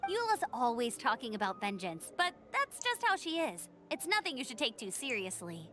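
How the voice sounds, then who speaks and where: An actress voicing a cheerful, energetic young female scout who talks in an upbeat, easygoing way, in video game dialogue. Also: fairly high pitch, moderate pace, faint music behind.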